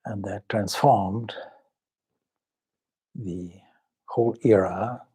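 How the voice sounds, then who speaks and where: A man leading a guided meditation as a swami, speaking solemnly and measuredly in short phrases with long pauses. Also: lowish voice, warm tone, breathy edge. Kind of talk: spiritual talk and guided meditation.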